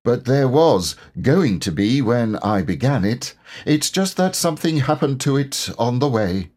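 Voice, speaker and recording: Man acting a slow, humble bear. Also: medium pitch, very animated, a little mellow, pitched above the performer's own voice. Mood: humble, musing. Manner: slow, halting, musing delivery. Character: slow, humble, earnest young-hearted male toy bear.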